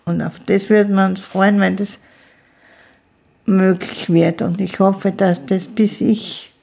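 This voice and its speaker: A woman, an interview guest who speaks slowly and haltingly, often restarting her sentences. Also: mid-range voice, little pitch movement, vocal fry, mellow. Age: 50s. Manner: slow, halting speech with restarts. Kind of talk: casual conversation.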